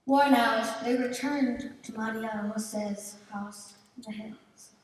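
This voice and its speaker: Woman as a slow, solemn stage storyteller. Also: a little high, fairly even intonation, slight background noise. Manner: measured, solemn, theatrical. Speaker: narrator. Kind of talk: scripted dramatic stage narration. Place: large indoor theater stage. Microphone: distant camera. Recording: echoey theater stage from far off.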